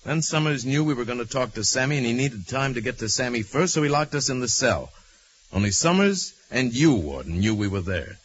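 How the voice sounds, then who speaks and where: A man playing a calm, shrewd crime-solving hero with a measured, commanding manner in 1940s radio drama. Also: medium pitch, close to an everyday voice. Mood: calm explaining. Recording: muffled, faintly noisy vintage radio.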